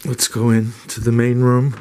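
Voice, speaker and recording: A man's voice: medium pitch, completely flat delivery, measured pace, a little mellow, slight background noise. A man giving a casual walking tour of his small renovated cottage, speaking hesitantly with many fillers and restarts, recorded on a handheld camera indoors. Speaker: homeowner giving a house tour.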